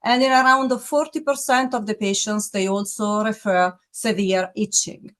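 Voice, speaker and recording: A middle-aged woman from Italy with an Italian accent, speaking in an interview about medicine in a measured way, with many pauses and restarts. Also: medium pitch, steady tone, fairly bright tone. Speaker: pharmaceutical medical officer.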